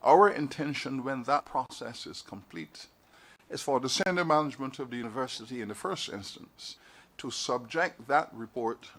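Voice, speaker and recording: Man, from Barbados, formal council address, measured oratory, repeated phrases, mid-pitched voice. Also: animated, a bit bright. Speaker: university leader giving an address. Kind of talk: formal speech at a council meeting. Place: meeting hall.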